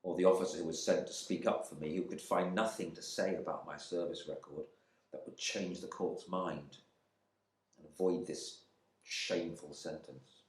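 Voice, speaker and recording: Man acting embittered airman, low voice, echoey. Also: steady tone, moderate pace, close to an everyday voice. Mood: ashamed, reflective. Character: embittered English RAF airman imprisoned in India, proud and resentful. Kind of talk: dramatic monologue reading from a novel. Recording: echoey room.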